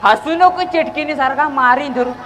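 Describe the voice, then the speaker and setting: Young performer playing high-pitched, exasperated bullying villager. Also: normal speed, over music, lightly acted. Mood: threatening, bossy. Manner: scolding, interrupting, firing repeated questions. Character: exasperated, bullying villager demanding his money back. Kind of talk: amateur comic stage play acting. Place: school stage event, live telecast.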